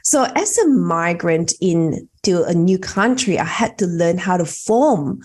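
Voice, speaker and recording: Lower-voiced woman from Malaysia. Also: expressive, measured pace, a little mellow. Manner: chatty, with frequent fillers. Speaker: guest networking coach. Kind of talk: conversational storytelling.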